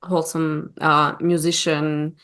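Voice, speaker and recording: A woman from Armenia, speaking English as a guest in a casual interview and often pausing to search for words. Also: low voice, little pitch movement. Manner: warm, searching for words with many fillers. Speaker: guest opera singer.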